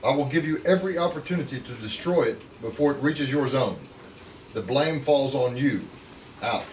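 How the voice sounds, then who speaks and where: A man's voice: medium pitch, moderate pace, close to an everyday voice. A man reading the part of a furtive, menacing traitor sending secret reports in a retro space adventure table read. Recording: echoey room, distant mic, faint noise.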